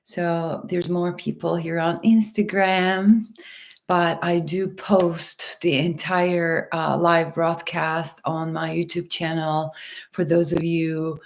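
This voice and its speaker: A woman from Turkey and Los Angeles hosting a casual livestream, talking to viewers in a gentle, unhurried, spiritual wellness manner. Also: fairly low pitch, little pitch movement, slight background noise. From Turkey and Los Angeles, USA. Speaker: host, hypnotherapist and mentor.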